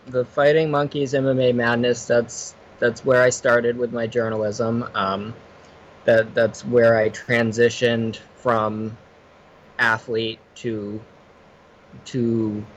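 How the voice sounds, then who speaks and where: A man being interviewed as a podcast guest, talking casually and haltingly, often repeating words as he starts. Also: mid-range voice, flat delivery, a bit bright, highs cut off, slight background noise. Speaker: podcast guest, retired fighter and photographer.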